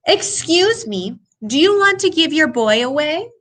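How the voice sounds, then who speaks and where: A woman's voice: high-pitched, sing-song, measured pace, fairly bright tone, voice pushed up, full character voice. A woman voicing an eager, wishful and very polite little male puppy in a storybook. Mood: politely calling out.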